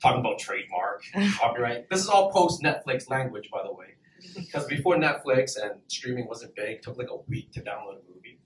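A man's voice: medium pitch, expressive, a little fast, slight background noise. A young man doing a brash, profane stand-up set at an open mic, laughing between punchlines. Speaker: stand-up comedian. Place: small comedy club stage, in front of an audience. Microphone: handheld mic, filmed from far back in the room. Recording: roomy club sound from far off. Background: audience laughter.

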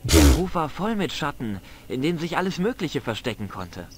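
A man's voice: fairly high pitch, big pitch swings, normal speed, background noise. A man voicing a cheeky, defiant teenage village boy in a video game, boyish, slangy and cocky, with a flair for dramatic tall tales. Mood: spooky, dramatic.

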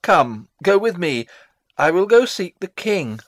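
An actor playing a fussy, self-assured older royal counsellor in a classical drama reading, wordy and pompous. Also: male voice, high voice, sing-song, measured pace, no room sound, heavily acted. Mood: urgent, regretful.

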